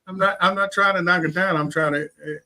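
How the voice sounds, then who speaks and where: A man's voice: high-pitched, fairly even intonation, a little fast, sharp tone, poor audio. An American man telling personal stories casually as an interview guest, often repeating and restarting his phrases. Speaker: interview guest, heart transplant recipient.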